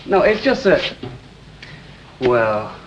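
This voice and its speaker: A young student with a medium-pitched voice. He is hesitant, evasive and defensive, in the style of early sixties television drama, over an audibly noisy soundtrack. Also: very animated, very slow, dull audio. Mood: defensive, uneasy. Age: young adult. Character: awkward, evasive young male student ashamed of home. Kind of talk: 1960s British television drama acting.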